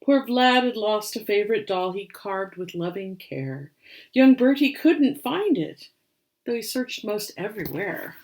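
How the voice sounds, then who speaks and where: A woman reading a rhyming picture book aloud as storyteller and host, with expressive, theatrical delivery. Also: mid-range voice, a little slow, rough recording.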